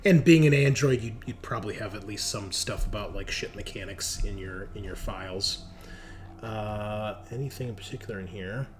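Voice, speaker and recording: A man narrating a tabletop roleplaying game as game master, describing scenes in a halting, improvised way. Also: fairly low pitch, slight background noise.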